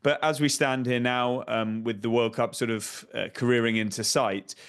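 A man's voice: medium pitch, steady tone, smooth. A man in his thirties, a football correspondent, speaking carefully with many ums over a video call at a desk mic. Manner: measured, hesitant with ums and repeated words. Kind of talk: remote studio discussion. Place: home room. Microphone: desk microphone on video call.

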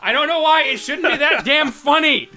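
A man chatting casually and excitably with friends as co-host of a gaming let's play. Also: very high voice, normal speed, a crowd in the background. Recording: music in the background.

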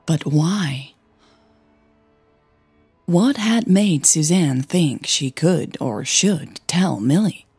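A woman narrating scripted fiction in a soft, breathy voice. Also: low-pitched, no echo.

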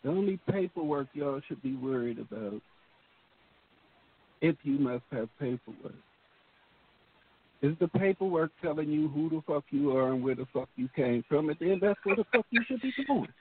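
A man's voice: a little high, animated, moderate pace, a little hiss or hum. A man co-hosting a casual talk show, speaking bluntly and emphatically with a lot of profanity. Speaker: co-host. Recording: tinny phone line.

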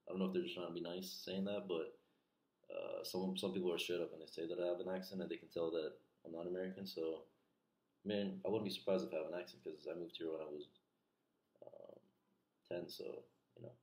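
Young man, from Iran, Farsi native speaker, very low voice, hesitant and filler-heavy, echoey bedroom. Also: steady tone, a little fast, darkish tone. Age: young adult. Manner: hesitant, many fillers like "like" and "you know". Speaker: interviewee. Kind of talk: casual interview. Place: small bedroom, indoors. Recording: echoey room.

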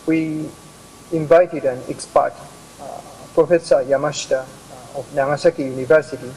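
A man's voice: fairly high pitch, darkish tone, slight background noise, poor audio. Japanese man presenting slides over video link. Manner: halting, with many "uh" pauses. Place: office with bookshelves. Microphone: video call.

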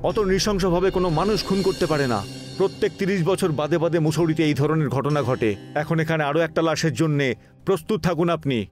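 A man's voice: on the high side, a little fast, faint music behind, close to an everyday voice. Man playing a grave, anxious old priest. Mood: grave warning. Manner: earnest, emphatic, foreboding.

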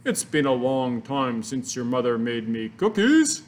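Storybook dad, played by a reader: gullible, theatrical, widely varied pitch. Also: very animated, unhurried. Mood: pleased. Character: father pleased, then yelling in disgust.

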